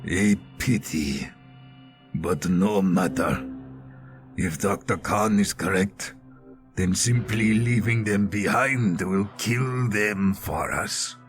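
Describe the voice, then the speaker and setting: A man playing a cold, imperious villain warlord in an audio drama, measured, formal and disdainful. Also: low-pitched, soft background music. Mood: calm menace.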